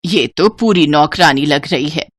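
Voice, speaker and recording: A woman's voice: low-pitched, a little slow, dry recording, pitched below the performer's own voice. Bossy, scornful mother-in-law, voiced by a woman. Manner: commanding, contemptuous.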